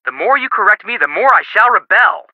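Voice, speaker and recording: A man's voice: high-pitched, expressive, a little fast, no echo, highs cut off. An actor playing a goofy, eager young male detective in a video game, with playful, wisecracking delivery. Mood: playful, wry. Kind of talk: video game character dialogue.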